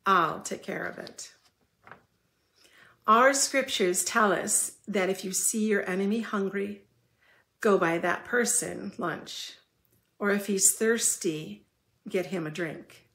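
Slow-paced woman reading scripture, roomy echo. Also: medium pitch, gravelly, fairly bright tone. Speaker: scripture reader. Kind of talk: measured scripture reading in a worship service. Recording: roomy, echoing church sound.

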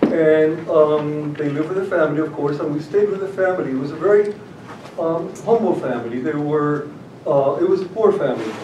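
A man's voice: fairly high pitch, moderate pace, noisy background. Older Puerto Rican man, roomy distant talk. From Puerto Rico. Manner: anecdotal, many fillers and restarts. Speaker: guest speaker. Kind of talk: public talk. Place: library meeting room. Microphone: far camera. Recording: roomy, distant, dull audio.

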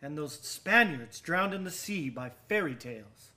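A brooding, troubled character in a radio play. His voice sits a little high for a man. He speaks very slowly and haltingly, muttering as though to convince himself. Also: lively intonation, sharp tone, full character voice. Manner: halting, muttering self-reassurance. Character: uneasy, brooding man haunted by shipwreck tales. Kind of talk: radio drama acting.

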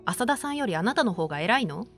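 A woman playing a strict but caring primary school teacher in a voice drama, firm and measured. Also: medium pitch, sing-song, a bit bright, soft background music. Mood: stern. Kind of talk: voice drama acting.

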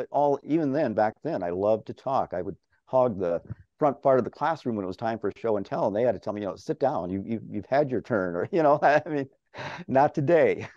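Older man with a strong mellow voice. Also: medium pitch, no echo. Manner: chatty, animated, laughs often. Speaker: interview guest, radio farm broadcaster. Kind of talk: casual storytelling. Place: home office. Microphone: video call. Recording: dull video-call audio.

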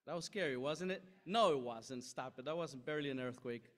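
Young male preacher, conversational and animated, acts out quotes; church hall, podium mic. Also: medium pitch, brisk. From Costa Rica. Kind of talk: sermon. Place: large church hall with stage.

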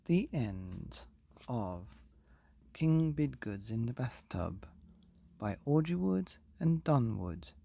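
A man narrates a bedtime story for children with theatrical expression. His pace is unhurried and his intonation very animated, with a soft, mellow tone in a dry, close recording. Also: medium pitch, vocal fry. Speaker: podcast host and story reader.

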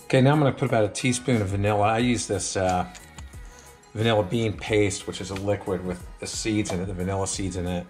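Middle-aged guy doing a home cooking vid, upbeat and chatty, echoey kitchen w soft music behind. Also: lowish voice, fairly even intonation, quick pace. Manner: upbeat, chatty, talks straight to camera. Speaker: home cooking show host. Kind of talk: casual cooking demonstration. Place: large home kitchen, indoors.

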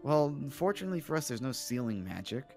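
A man's voice: medium pitch, big pitch swings, fast talker, darkish tone. Guy as a nerdy young wizard student explaining stuff, mid voice, bit of music under it. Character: knowledgeable young male student mage, musing and explanatory. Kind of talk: improvised Minecraft roleplay.

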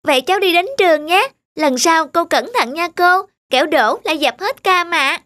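Very high-pitched little schoolgirl. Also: moderate pace, a bit bright, no echo. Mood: helpful, polite. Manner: polite, pleading, childlike. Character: kind, earnest little schoolgirl daughter. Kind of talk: animated cartoon dialogue. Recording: music behind the voice.

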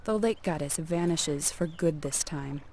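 A woman narrating a folk tale as a storyteller, in a measured and expressive storybook delivery. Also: low voice, slight background noise.

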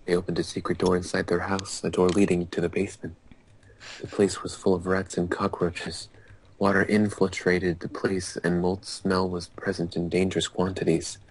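A man's voice: deep voice, level tone, normal speed, slightly rough, rough recording. A young man doing an amateur dramatic reading aloud among friends on an online group call, stumbling now and then over the wording. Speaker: fanfiction reader in a group call. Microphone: computer mic on a group internet call. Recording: hissy online group call, mouse clicks.

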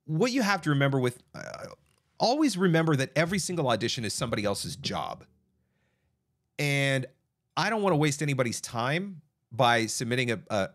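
A man's voice: mid-range voice, a bit bright. A man in his thirties hosting a live voiceover advice stream, answering chat questions in a conversational way with fillers and restarts, close to a studio mic in a small home studio. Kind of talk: casual advice livestream. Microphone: broadcast mic on arm, close.

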